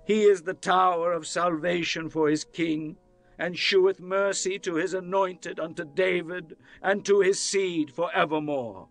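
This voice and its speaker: A man playing a devout warrior king and psalmist in a dramatized scripture reading, declaiming in solemn, measured phrases. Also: high voice. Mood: exultant praise. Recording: music under the voice. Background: music.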